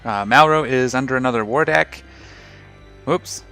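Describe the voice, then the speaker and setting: Bright-voiced man, a little hoarse. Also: medium pitch, normal speed, faint music behind. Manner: relaxed, rambling, explains ship fittings. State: slightly rough voice after a night of singing. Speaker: gaming channel host. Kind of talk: casual gameplay commentary.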